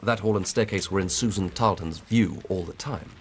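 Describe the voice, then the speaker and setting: An actor playing an earnest, methodical English police inspector in a radio drama, husky and deferential as he reports. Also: sits low, a little hiss or hum, close to an everyday voice. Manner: measured, deferential reporting of evidence. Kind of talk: BBC radio drama acting.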